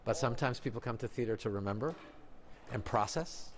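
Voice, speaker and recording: A man's voice: mid-range voice, brisk. A man from Mexico whose first language is Spanish, speaking earnestly and reflectively in an interview. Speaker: magician and physician, interviewee. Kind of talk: interview soundbites.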